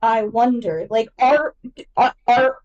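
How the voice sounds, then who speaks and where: A woman's voice: a little high, slow, distant mic, highs cut off. A woman giving enthusiastic, emphatic literary analysis as a podcast guest, casual and nerdy in her delivery.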